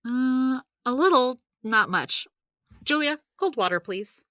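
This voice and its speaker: Audio drama healer: practical caring mentor, very animated delivery, dry close sound, rambling explanations. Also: female voice, high voice, takes it slowly, dry recording, exaggerated voice. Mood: concerned. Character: supernatural healer woman, practical, chatty, gently caring mentor.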